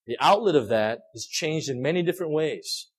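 Male pastor, husky, animated pitch, rambling conversational sermon full of fillers. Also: brisk. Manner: rambling, many fillers and repeated words, asks the audience questions.

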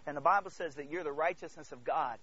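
A man's voice: on the high side, fast, a little hiss or hum. A man from the Congo preaching to a congregation in an emphatic way, repeating phrases and checking in with his listeners, on slightly dull audio. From Congo, Central Africa. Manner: emphatic, swings between hushed asides and loud declarations. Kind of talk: animated sermon to a congregation.

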